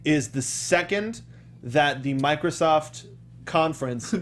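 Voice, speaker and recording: Higher-voiced man chatting over background noise. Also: slow. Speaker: show host. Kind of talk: casual panel chat about video games.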